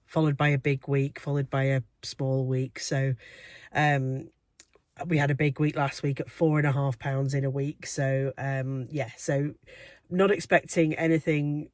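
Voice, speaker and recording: A woman's voice: a bass voice, level tone, a little slow, darkish tone, no room sound, studio quality. A woman talking casually to camera as a weight-loss vlogger, chatty and reflective with frequent ums and light laughs.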